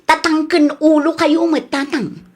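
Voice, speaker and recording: A level-headed daughter back from abroad reasons with her stubborn father in an Ilocano accent, played by a woman in a radio drama. Her voice sits a little high. She stays firm and reasoning as she tries to keep the peace. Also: flat delivery, unhurried, a little mellow.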